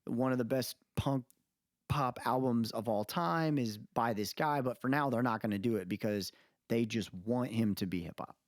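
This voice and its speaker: A man's voice: mid-range voice, normal speed, no echo. Male podcast co-host, mid-range voice, loose rambling opinion talk, dry recording. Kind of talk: casual podcast chat, opinionated music commentary.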